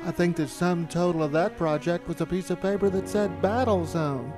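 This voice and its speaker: A man's voice: sits high, fairly even intonation, moderate pace, music in the background. A middle-aged man narrating a documentary, looking back on his amateur filmmaking.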